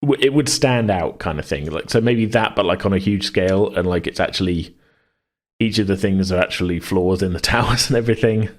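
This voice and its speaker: A man co-hosting a casual worldbuilding chat, hesitant and rambling as he thinks out loud. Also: deep voice, fairly quick. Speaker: podcast co-host.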